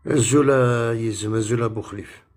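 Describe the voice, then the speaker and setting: A man's voice: mid-range voice, fairly even intonation, slow pace, darkish tone. A man from France talking on a phone live stream, indignant and repetitive, dropping Arabic words into his speech. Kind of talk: heated casual live-stream rant.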